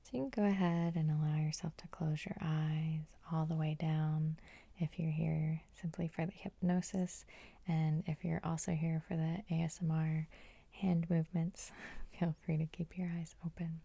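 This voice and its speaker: A woman hypnotherapist guiding sleep hypnosis in a soothing, unhurried, breathy ASMR whisper, close to the microphone. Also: low-pitched, little pitch movement, mellow, dry recording.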